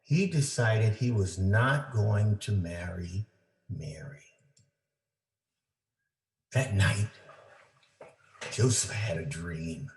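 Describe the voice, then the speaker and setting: Male storyteller, mid-pitched voice, unhurried pace, deliberate phrasing, family read-aloud, echoey room. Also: animated. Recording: roomy, echoing indoor sound.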